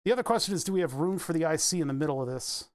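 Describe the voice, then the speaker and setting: Brief: a rambling hobbyist streamer, a man with a slightly high voice, chatting at his workbench. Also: expressive, racing, no echo, clean studio sound. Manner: rambling and chatty, answers chat, breaks into laughs. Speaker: electronics streamer. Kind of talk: casual live-stream chat while building a circuit.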